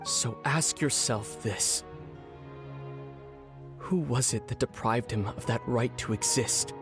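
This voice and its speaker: An actor playing a solemn, weary young man, a long-lost twin brother in a game, who speaks softly and gravely in measured lines. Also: medium pitch. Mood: solemn, reflective. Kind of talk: scripted game voice acting. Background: background music.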